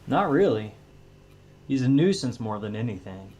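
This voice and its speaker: A male actor plays a weary country widower in an audio drama. He talks in a folksy, plainspoken way, in a mid-pitched male voice. He sounds like a homeowner worn down by a haunting. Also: big pitch swings, normal speed, darkish tone. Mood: guarded. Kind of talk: audio drama character dialogue.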